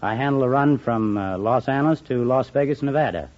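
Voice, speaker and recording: A man's voice: medium pitch, brisk, darkish tone. American male contestant on muffled old radio. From Iowa, United States. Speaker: quiz contestant, railroad conductor. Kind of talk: brief answers in a radio interview. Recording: dull 1950 radio broadcast with audience.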